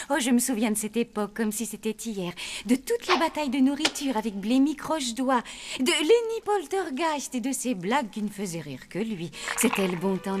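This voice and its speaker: A woman's voice: on the high side, natural voice. A woman voicing a romantic, gushing zombie wife and mother, effusive and theatrical, in a cartoon. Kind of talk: French cartoon dub acting.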